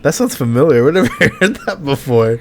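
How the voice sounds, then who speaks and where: A young man with a rough voice hosting a podcast in a casual, laid-back chat, swearing freely as he talks. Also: a little high, big pitch swings, a little fast, soft background music. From Mexican heritage. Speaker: podcast host.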